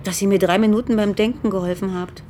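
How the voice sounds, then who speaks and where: An actress playing a thoughtful, matter-of-fact police investigator in a radio drama, with sober, dry delivery. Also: female voice, medium pitch, steady tone, fast talker, dark tone, a little hiss or hum, lightly acted.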